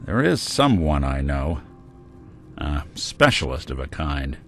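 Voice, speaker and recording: An actor voicing a weary, wry, good-hearted middle-aged robot private detective in a video game, with an American accent and deadpan delivery. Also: male voice, very deep voice, slow pace, faint music behind, full character voice. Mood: wry, easygoing. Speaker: companion character in a video game.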